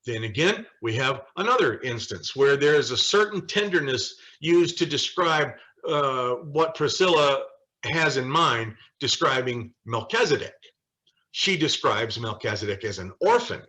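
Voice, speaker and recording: An American man leading a Bible study in an informal, conversational way, pausing often as he explains and adding emphatic asides. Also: mid-range voice, animated, pushed and bright, poor audio. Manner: conversational, halting, with frequent pauses and emphatic asides. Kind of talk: informal teaching with quoted scripture and scholars.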